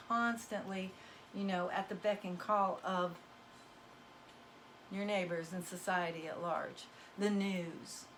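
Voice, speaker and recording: Hoarse woman giving a folksy pep talk. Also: medium pitch, slight background noise. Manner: emphatic, preachy, folksy with "y'all". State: losing her voice, coughing. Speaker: spiritual card reader. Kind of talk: casual motivational talk to viewers.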